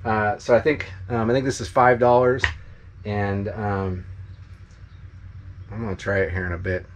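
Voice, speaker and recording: A man in his forties talking casually to a handheld camera on a farm, relaxed and chatty. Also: lowish voice, moderate pace, a little hiss or hum. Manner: relaxed, chatty, self-correcting. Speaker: travel vlogger. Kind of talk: casual vlog talk to camera. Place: outdoors on a farm and inside a trailer. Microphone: handheld action camera at arm's length.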